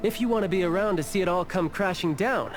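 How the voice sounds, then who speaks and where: A man voices a young video game schemer with a fairly high voice. He is measured, knowing and theatrical, with a teasing edge. Faint music plays underneath. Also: lightly acted. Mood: amused, sly. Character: smooth, teasing, scheming young male agent of a shadowy organisation.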